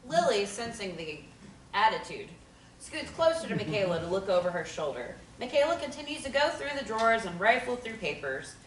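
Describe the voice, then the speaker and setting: A woman's voice: mid-range voice, big pitch swings, a little fast. A woman reading stage directions as scripted narration at a table reading of a play. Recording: echoey room, distant mic, noise.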